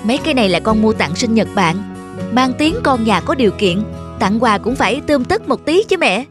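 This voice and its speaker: A spoiled young man in his twenties from a cartoon drama, played by a woman. His voice is high and whiny, always angling and pleading, over clear background music. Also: big pitch swings, brisk. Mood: coaxing.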